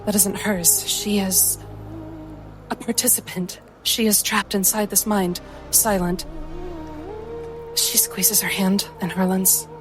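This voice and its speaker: A woman's voice: medium pitch, animated, slow, pauses often, over music. A woman narrating her character's part as a player in a tabletop game.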